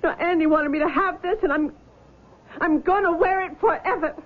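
An actress playing a shy, guilt-stricken young woman in a 1970s radio drama, emotional and halting. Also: extremely high pitch, heavy background noise. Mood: stubborn grief. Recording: dull 1970s radio broadcast recording.

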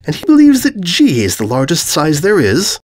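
A man's voice: on the high side, huge pitch swings, moderate pace, darkish tone, voice pushed up. A man voicing a stern, haughty pony princess who speaks formally and with sarcasm in a storybook reading. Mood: sarcastic.

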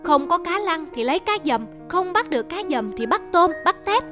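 A woman's voice: extremely high pitch, expressive, normal speed. A woman voicing a practical, bossy old fisherman's wife in a cartoon folk tale, emphatic and nagging. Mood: scolding. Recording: music under the voice.

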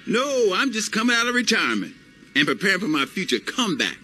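An actor playing a smooth-talking retired male sports star, breezy and showy, in a video game. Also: high-pitched, big pitch swings, fast talker, bright, forceful tone, slight background noise, exaggerated voice. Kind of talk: video game cutscene acting.

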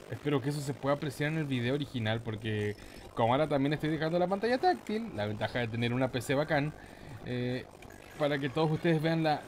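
Man, Chilean accent, fairly high voice, playful gamer commentary, slangy exclamations, some background game noise. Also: darkish tone, rough recording. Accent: Chilean Spanish. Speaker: gaming YouTuber, host. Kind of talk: casual excitable let's play commentary.

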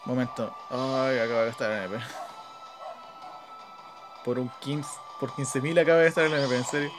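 A man's voice: mid-range voice, steady tone, moderate pace. A man with a Chilean Spanish accent giving casual, slangy live gameplay commentary, talking partly to himself as a gaming video host. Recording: game music behind. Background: video game music.

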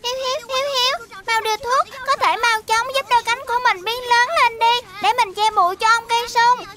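A woman's voice: very high-pitched, fairly even intonation, fairly quick, crowd noise. A woman voicing an eager, childlike little woodpecker in a dubbed children's cartoon. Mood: urgent. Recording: music behind the voice.

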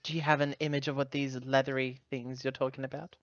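A man's voice: mid-range voice, little pitch movement, fast, no echo, dull audio, close to an everyday voice. A man playing a courteous, inquisitive ship's officer in a tabletop game, speaking politely. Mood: politely probing.

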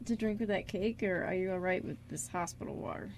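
A woman's voice: lowish voice, brisk, natural voice. A woman playing an anxious, tender man in an amateur radio drama, with hesitant, stammering speech. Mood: caring, shy. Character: anxious, guilt-ridden, tender male friend.

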